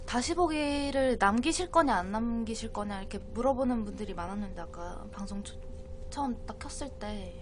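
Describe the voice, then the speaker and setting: A young woman with a voice hoarse from a cold, talking softly and hesitantly to viewers on a live stream. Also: on the high side, a little hiss or hum. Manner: hesitant, soft-spoken, trailing off.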